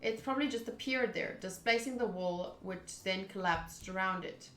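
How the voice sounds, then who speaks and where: A woman's voice: medium pitch, normal speed, a bit bright. A woman streaming a visual novel, reading its narration aloud with casual reactions. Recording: roomy, echoing voice track. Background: game music.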